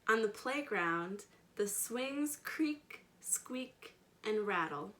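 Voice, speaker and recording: A woman's voice: mid-range voice, lively intonation, extremely slow, fairly bright tone. A woman reading a picture book aloud for children, talking to them directly and stressing key words. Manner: addresses children directly with questions and simple words. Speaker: storytime reader and children's educator. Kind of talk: children's picture book read-aloud with chatty asides to young listeners.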